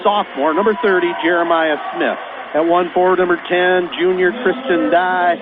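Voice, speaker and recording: A man with a Midwestern American accent calling a high school basketball game on local radio, folksy and chatty. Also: fairly even intonation, moderate pace. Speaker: play-by-play announcer. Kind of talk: radio sports pregame talk. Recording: phone-like radio broadcast with faint noise.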